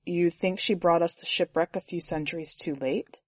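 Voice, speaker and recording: Woman reading a male boatman, middle-aged, soft-spoken, low voice, dry close sound. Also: moderate pace, no echo, lightly acted. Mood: wry.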